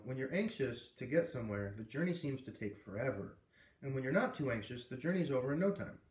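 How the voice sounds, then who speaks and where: A man narrating a children's novel aloud in an expressive storybook style, as a read-aloud reader. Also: mid-range voice. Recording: echoey room, distant microphone.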